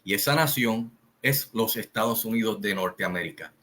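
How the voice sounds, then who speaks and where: A middle-aged man from Rico, giving a slow, opinionated monologue full of pauses and fillers. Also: lowish voice, pushed and bright. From Puerto Rico. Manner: slow, deliberate, repetitive, with many pauses and fillers. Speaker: commentary channel host.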